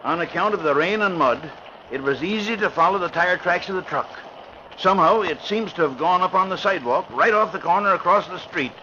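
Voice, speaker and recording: A man playing a brisk police sergeant in an old radio drama, reporting in a matter-of-fact way. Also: sits high, sharp tone. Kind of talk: 1940s radio acting. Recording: muffled old radio broadcast with faint hiss.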